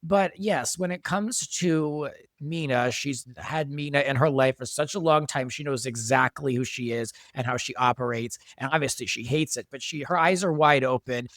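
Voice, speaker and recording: A man's voice: medium pitch, fairly bright tone, no room sound. A man speaking as a creator and podcast guest, explaining his work conversationally in a casual discussion. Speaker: audio drama creator and guest.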